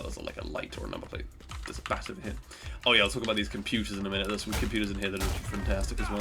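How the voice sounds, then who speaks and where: A man with a stuffy nose from hay fever, rambling through casual, self-deprecating gameplay commentary. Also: lowish voice. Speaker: gaming YouTuber. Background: game music.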